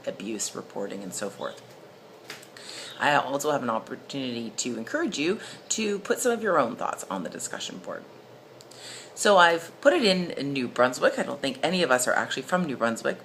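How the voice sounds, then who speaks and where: Female instructor, very low register, animated intonation; informal explainer narration. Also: slight background noise. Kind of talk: recorded lesson, case study narration.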